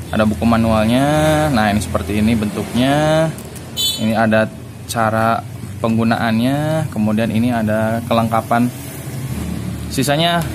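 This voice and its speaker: A man giving a casual hands-on unboxing review, informal and halting as he searches for words. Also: medium pitch, noisy background. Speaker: product reviewer.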